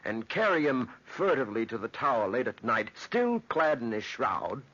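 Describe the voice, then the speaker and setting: Shady workman in old radio drama, raspy, big pitch swings, ominous but respectful. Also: sing-song, normal speed, fairly bright tone. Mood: ominous, hushed. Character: conspiratorial workman, ominous and respectful tale-bearer. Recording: dull, lo-fi old radio recording.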